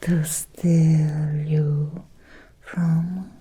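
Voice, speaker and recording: A woman's voice: sits low, little pitch movement, drawn-out words, dark tone, slight background noise. A woman playing a seductive, obsessive Victorian vampire in an ASMR roleplay, whispering breathily and intimately with a teasing, lingering delivery. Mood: obsessive, wistful.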